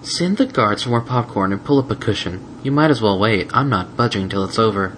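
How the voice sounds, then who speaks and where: A man's voice: lowish voice, animated, brisk, a bit bright, slight background noise. A man voicing a haughty royal female pony, imperious and irritated, in a storybook reading. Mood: impatient.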